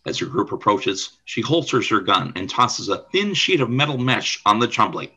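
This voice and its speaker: A man's voice: fairly low pitch, sing-song, moderate pace, sharp tone, husky, muffled recording. A man reading scripted narration for a radio play, describing scenes and action.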